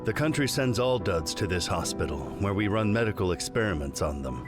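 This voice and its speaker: A middle-aged male scientist in a game cutscene, low in pitch. He is clinical, detached and condescending, explaining things flatly over prominent music. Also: fairly quick. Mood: matter-of-fact. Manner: clinical, matter-of-fact, condescending. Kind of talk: scripted video game cutscene acting.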